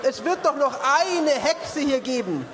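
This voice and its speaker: A man's voice: very high-pitched, sing-song, a little slow, background noise. A man hosting a live stage quiz, joking and bantering with the audience. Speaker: quiz show host. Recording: live hall with crowd and music.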